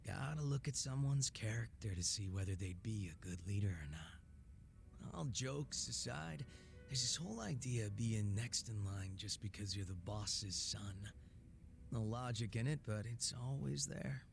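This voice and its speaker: Cocky game gangster boss, retired, deep-ish creaky drawl, teasing, swears casually. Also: male voice, a little slow, a little hiss or hum. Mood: candid, boastful. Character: cocky, laid-back former gang boss, male, drinking and unguarded. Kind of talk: English video game voice acting.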